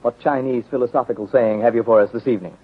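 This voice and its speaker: A man's voice: mid-range voice, steady tone, fast. A man announcing a 1930s radio serial in scripted narration, formal and dramatic. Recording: muffled, very noisy 1930s radio recording.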